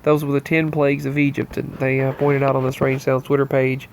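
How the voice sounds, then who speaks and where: A man's voice: medium pitch, completely flat delivery, fairly quick, a little hiss or hum, low-quality recording. A man hosting his channel, with a stuffy nose from allergies, running loosely and earnestly through a list of strange news in a casual monologue. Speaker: channel host.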